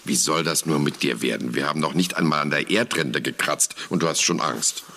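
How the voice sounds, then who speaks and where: An actor playing a gruff, impatient explorer in a German adventure radio play. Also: male voice, rumbling low, fairly quick, sharp tone, a little hiss or hum, heavily acted.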